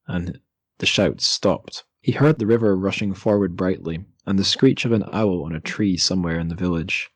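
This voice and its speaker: A man's voice: low voice, dry recording. A man hosting a literary podcast, talking casually and reading passages from a book. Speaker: podcast host.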